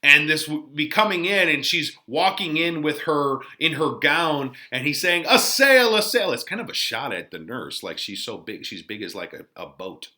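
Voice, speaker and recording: A man teaching, giving informal, animated, conversational commentary on a play. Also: fairly high pitch, moderate pace, hardly any pauses. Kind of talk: explanatory lesson commentary on a play.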